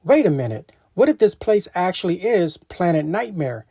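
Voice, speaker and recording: Woman playing a tough alien warrior woman, very animated. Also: fairly low pitch, normal speed, husky, no room sound, clean studio sound, full character voice. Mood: determined. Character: tough green-skinned alien warrior woman, battle-weary but smart. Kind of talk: animated children's storybook dialogue.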